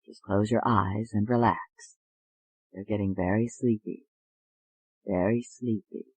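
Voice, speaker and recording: A narrator voicing a calm male psychiatrist with a slow, soothing manner in an audiobook. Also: lowish voice, husky, no echo, pitched below the performer's own voice, rough recording. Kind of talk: audiobook character voice.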